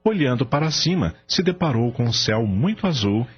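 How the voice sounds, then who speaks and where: A man narrating a radio drama in a measured, expressive storytelling style. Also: mid-range voice, slightly rough. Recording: dull lo-fi audio with music behind.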